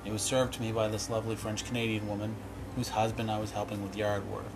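Hoarse, low-voiced male podcast chef. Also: noisy background. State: hoarse from illness. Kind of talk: reading a written essay, then casual sign-off.

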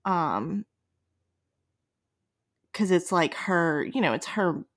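A woman's voice: lowish voice, expressive, drawn-out words. A woman hosting a casual fan podcast, blunt and teasing, acting out imagined lines as she chats. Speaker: podcast host. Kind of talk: casual, joking fan chat.

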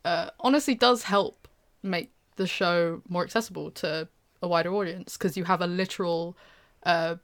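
A woman's voice: on the low side, steady tone, normal speed. A young British woman chatting casually with a co-host, hesitant, with many fillers and restarts. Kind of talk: casual chat.

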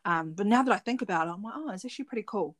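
A woman's voice: mid-range voice, animated, racing. Woman podcast host with a New Zealand accent. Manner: informal, slangy. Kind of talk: casual interview chat.

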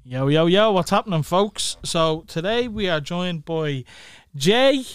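A man's voice: sits high, lively intonation, measured pace, clear, resonant tone, no room sound, clean studio sound. A man hosting a podcast, chatting casually with lots of banter and swearing. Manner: swearing, banter, frequent "yeah". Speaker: podcast host.

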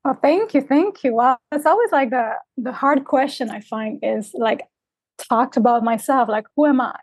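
A woman raised in the Dominican Republic and Canada talks through her path as a coach, reflective and searching, with frequent fillers. Her voice sits fairly high and sounds dry and close to the mic. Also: normal speed, slightly rough, no room sound. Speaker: guest, life coach. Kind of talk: interview conversation. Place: remote, traveling in Mexico.

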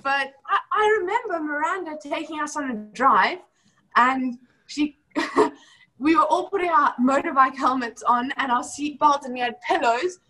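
A woman's voice: high voice, moderate pace, bright, forceful tone, rough recording. A young woman with a mixed British and South African accent, talking casually over a video call and laughing often. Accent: mix of British and South African. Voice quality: giggly, laughs often, many fillers. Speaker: former homeschool student.

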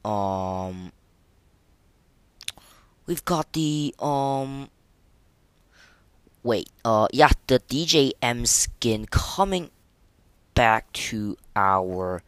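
Slow, halting man chatting about gaming. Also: mid-range voice, expressive, fairly bright tone, smooth, no echo. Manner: halting, stumbling delivery with repeated words. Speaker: podcast host. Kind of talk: casual solo gaming podcast chat.

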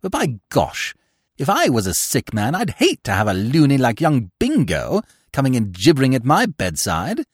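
Man doing an toff, young, breezy, upper-class slang, lively swooping pitch, dry sound. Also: normal speed, slightly rough, no room sound. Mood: exasperated. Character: young male English gentleman, breezy and flustered.

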